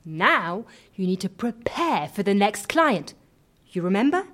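An actress playing a long-suffering, sceptical assistant in an audio comedy, with a dry, sarcastic manner. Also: female voice, mid-range voice, big pitch swings, unhurried, a bit bright. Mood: exasperated, brisk. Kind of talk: audio fiction comedy acting.

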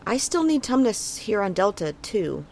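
A woman's voice: medium pitch, sing-song, moderate pace, a little mellow. A woman playing a dutiful, vigilant moonbase commander in audio drama dialogue, firm and businesslike. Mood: firm, concerned.

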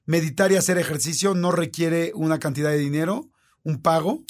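A man's voice: fairly high pitch, fairly quick. A man with a Mexican Spanish accent, hosting a conversation and talking earnestly and animatedly. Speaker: host. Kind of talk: casual conversation.

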